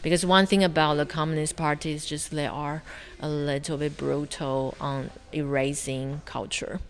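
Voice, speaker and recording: Low-pitched woman with a Taiwanese accent. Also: flat delivery, a little hiss or hum. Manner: halting, searching for words. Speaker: interview guest, film director. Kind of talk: conversational interview answers.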